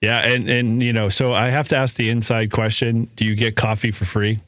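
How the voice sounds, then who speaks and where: A man's voice: medium pitch, level tone, a little fast, fairly bright tone, dry recording. A man hosting a casual panel chat, jovial and slangy, often laughing as he talks. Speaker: podcast host.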